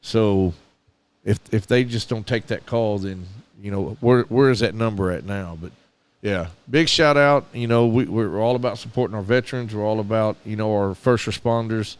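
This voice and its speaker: A man's voice: on the low side, normal speed, clean tone. A middle-aged man with a Southern American accent, co-hosting a casual radio podcast and telling stories earnestly. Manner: earnest, storytelling, with repeated restarts. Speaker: podcast co-host.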